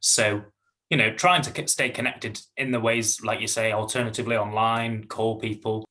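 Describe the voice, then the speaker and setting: A man from Wales hosting a community podcast, asking questions with halting fillers. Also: lowish voice, fairly even intonation, bright, forceful tone. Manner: hesitant, restarts and fillers. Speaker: podcast host. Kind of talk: interview questions.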